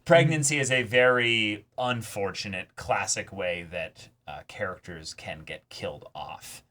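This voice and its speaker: A man's voice: on the low side, unhurried, pushed and bright. A man co-hosting a reaction show, talking in a casual, emphatic, enthusiastic way like an eager fan. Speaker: co-host of an anime reaction channel. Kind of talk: casual chat, reaction discussion.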